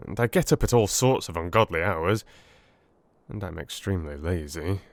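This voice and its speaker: A man playing a polite, curious former army doctor in a theatrical audiobook, with wry, expressive, gentlemanly delivery. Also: low-pitched, moderate pace, dry recording. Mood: wry, confessing. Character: weary but curious young ex-army doctor, polite, droll. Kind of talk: theatrical audiobook character acting.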